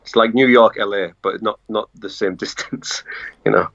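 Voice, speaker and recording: A man with a northeast English accent, speaking as a journalist guest in a casual remote interview, halting with frequent pauses. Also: fairly low pitch, steady tone, vocal fry, a bit bright, dull audio. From northeast England.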